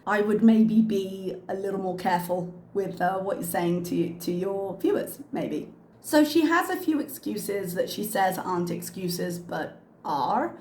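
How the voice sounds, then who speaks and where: A woman's voice: mid-range voice, animated, measured pace, a little mellow. A woman hosting her own commentary channel, talking frankly and chattily with plenty of ums and uhs. Her voice is a little worn from a lingering cough, and she has a slight lilt. It sounds like it was recorded in an echoey room with a faint hum of ambience behind her. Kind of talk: casual reaction commentary.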